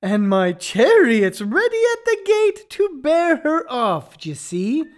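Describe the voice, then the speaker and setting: A woman's voice: sits high, wildly swooping pitch, slow pace, full character voice. A woman as a wildly swooping, cocky clown suitor. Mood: boastful wooing. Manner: cocky, mocking, chuckling clownish delivery. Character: boastful male trickster servant posing as rustic farmer suitor. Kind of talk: classical stage farce acting in an audio play.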